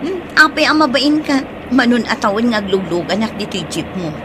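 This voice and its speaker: A chatty, insistent market vendor in a radio drama. Her Filipino-accented voice is slightly high. It competes with loud noise and vehicle sounds. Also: very animated, fairly quick. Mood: insistent, friendly. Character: friendly, insistent market vendor, regular jeepney passenger. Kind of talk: Ilocano radio drama acting.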